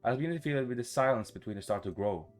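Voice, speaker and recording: A man's voice: medium pitch, animated, rapid-fire. A man reading a visual novel aloud as a gaming YouTuber, stumbling over words now and then and adding his reactions. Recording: music behind the voice.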